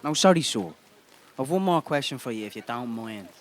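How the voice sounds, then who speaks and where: A man's voice: mid-range voice, lively intonation, a little fast, close to an everyday voice. A man acting a desperate homeless Irish father in a radio play, pleading, exasperated and prone to swearing. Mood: urgent pleading.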